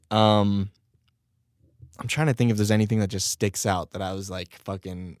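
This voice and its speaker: A man's voice: lowish voice, dry recording, clean studio sound. A young man hosting his own podcast in a rambling, casual way, swearing and laughing at himself, his voice still a little strained. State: voice recovering, slightly strained. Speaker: podcast host and rapper. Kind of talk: casual solo podcast chat.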